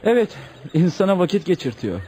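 High, swooping, evasive idler. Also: male voice, sing-song, fairly quick, dark tone, slight background noise. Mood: casual. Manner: hesitant, stammering. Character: idle, bored, evasive man, easygoing companion. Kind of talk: Turkish radio theatre acting.

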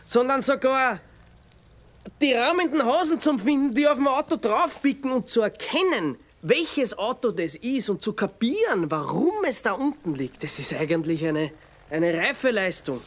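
A level-headed man in a radio play, laying out facts and pleading with quiet urgency. His voice sits high and moves in lively rises and falls. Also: normal speed, exaggerated voice. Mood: reasoning. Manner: reasons and pleads, lays out facts steadily. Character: calm man confronting an avenger, pleading. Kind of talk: radio drama acting.

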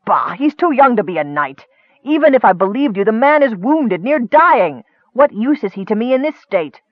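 A man's voice: fairly high pitch, big pitch swings, normal speed, no room sound. A man voicing a cold, scheming male wizard who talks in a commanding, impatient way. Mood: irritated. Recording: tinny phone-like dry sound.

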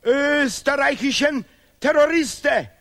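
An actor playing a pompous, sentimental patriotic scholar in an absurdist radio play, declaiming haltingly in broken grammar. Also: male voice, very high-pitched, sharp tone, full character voice. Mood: alarmed, excited. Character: pompous male Nobel laureate scholar, bombastic, sentimental patriot.

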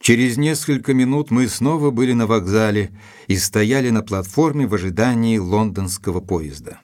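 An actor playing a doctor who narrates a detective story in an audio drama, in composed literary narration. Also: male voice, on the low side, normal speed, a little mellow, close to an everyday voice. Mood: composed, descriptive. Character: Doctor Watson, male narrator recounting the investigation.

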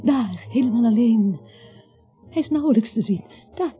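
A woman's voice: on the high side, lively intonation, moderate pace, dark tone, close to an everyday voice. An actress playing a dreamy yet streetwise woman in a radio play, with a musing manner of speaking. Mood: wondering. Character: dreamy, streetwise woman philosophising, frankly businesslike. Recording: muffled old radio play, music behind.

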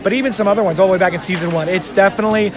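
A man's voice: high-pitched, steady tone, racing, crowd noise. A man chatting casually and eagerly as an interview guest, with plenty of emphasis. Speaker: interview guest, audio drama writer. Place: fan convention.

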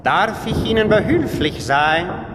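An actor in a radio drama playing a courteous, sinister vampire count, suave and deliberate. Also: male voice, high voice, animated. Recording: long hall reverb. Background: music.

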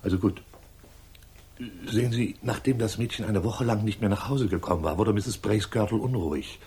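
Husky, pompous, shifty caretaker. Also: male voice, lowish voice, a little fast, warm tone. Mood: florid, confiding. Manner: florid, grandiose, evasive. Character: pompous, shifty, theatrical male lodging-house caretaker, ex-actor. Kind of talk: 1960s German radio crime drama acting. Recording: 1960s studio radio play.

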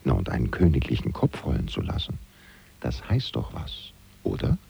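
An actor playing a wry, gossiping French valet who confides ironically in the listener as narrator of a German radio play. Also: male voice, extremely low pitch, huge pitch swings, normal speed, creaky, dark tone, breathy edge, exaggerated voice. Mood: confiding, uneasy. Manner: confiding, ironic, rhetorical questions.

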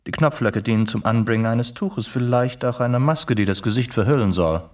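A man's voice: mid-range voice, brisk, a little mellow, natural voice. A man playing an eccentric, erudite English reporter and secret agent in a German radio play, with an urbane, lecturing, sardonic manner. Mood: learned relish. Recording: slightly distant radio play recording.

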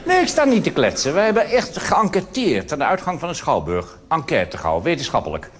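Man doing lively, noisy live cabaret. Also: fairly high pitch, fairly quick. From Netherlands. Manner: conversational, rambling asides with tag questions. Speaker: cabaret performer. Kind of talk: live comedy monologue. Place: on stage in a theatre before an audience. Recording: noisy old live theatre recording.

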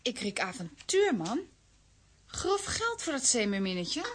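A woman's voice: on the high side, sing-song, unhurried, slight background noise. An actress playing a spirited, curious, impatient young girl in a children's radio play. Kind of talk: Dutch-language children's radio play acting.

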